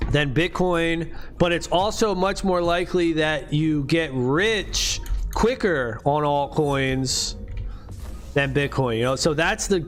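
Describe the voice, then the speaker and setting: A man in his late thirties, chatting casually on a live stream in loose, profane slang full of "dude" and "bro". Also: on the high side. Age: late 30s. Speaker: livestream host.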